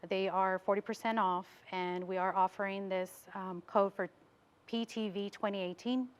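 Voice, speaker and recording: A woman's voice: mid-range voice, fairly even intonation, moderate pace, clear, resonant tone, highs cut off. An American woman being interviewed as a business owner in a TV studio, explaining things in a casual way.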